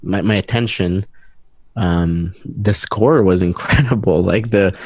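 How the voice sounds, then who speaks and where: A young man from Guatemala chatting casually as an interview guest, searching for his words in a halting, informal way. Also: low voice, darkish tone, dry recording.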